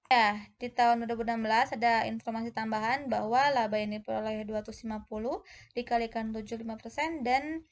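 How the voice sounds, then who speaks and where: A woman's voice: fairly high pitch, fairly even intonation, quick pace. A woman explains step by step as a tutor in an informal lesson, often posing questions and adding conversational tags. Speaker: accounting tutor presenting a video lesson.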